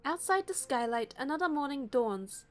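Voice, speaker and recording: A woman reading a game's story aloud as narration in an expressive way. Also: high voice, moderate pace. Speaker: player narrating a game playthrough. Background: music.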